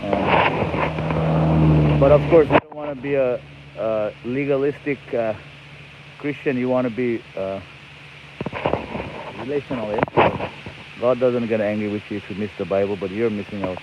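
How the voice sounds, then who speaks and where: A man's voice: medium pitch, a little slow, long pauses. A man from Nepal, chatting cheerfully and rambling while he livestreams from an e-bike outdoors on his phone. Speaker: livestream host. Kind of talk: casual livestream chat. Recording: lo-fi phone stream with loud outdoor noise.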